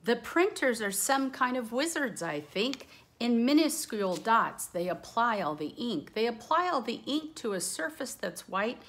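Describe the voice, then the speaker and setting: A woman reading a picture book aloud with expressive, dramatic emphasis, lingering on words and adding casual asides. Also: on the high side, a little slow, hardly any pauses. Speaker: read-aloud storyteller.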